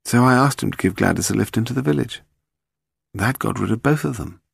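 A British man voicing a brisk, composed English woman secretary in a clipped, matter-of-fact way for an audiobook. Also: fairly low pitch, animated, vocal fry, breathy edge, dry recording, clean studio sound, pitched above the performer's own voice, full character voice.

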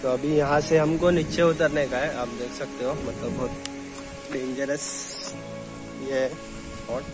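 Young man, casual outdoor vlog, arm's-length phone mic, loud music bed. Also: medium pitch, fairly even intonation, unhurried, poor audio. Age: young adult. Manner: chatty, leans on filler "matlab". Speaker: vlogger. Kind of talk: casual walking vlog narration. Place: outdoors on a rocky seashore. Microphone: phone camera held at arm's length.

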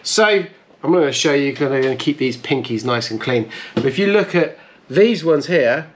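A man's voice: mid-range voice, animated, normal speed, slight background noise. A man in his thirties hosting a casual restoration vlog, explaining things step by step in a hands-on way. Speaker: host of a car restoration channel. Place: bright plant-filled conservatory at a table.

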